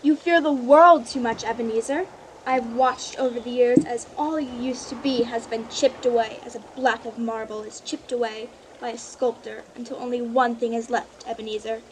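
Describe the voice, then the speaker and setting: A woman's voice: a little high, fairly even intonation, moderate pace, background noise, lightly acted. A woman playing a sorrowful but resolute young woman in a radio play, speaking earnestly and formally. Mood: sad, resolute. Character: sorrowful, resolute young woman leaving her greedy fiance. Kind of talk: live-audience radio play acting.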